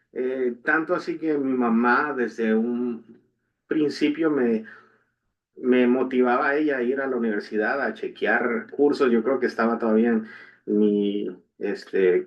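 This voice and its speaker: Young Guatemalan podcast co-host with a Guatemalan Spanish accent, chatty and meandering, mid-pitched, dull recording. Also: male voice. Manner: rambling, many fillers and restarts. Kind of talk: casual conversational storytelling.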